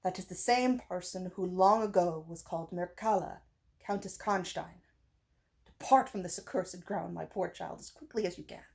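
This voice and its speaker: A woman's voice: lowish voice, normal speed, gravelly, a little mellow. A woman reading a gothic storybook, playing a grave, agitated old general who speaks solemnly and with command. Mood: grave, urgent.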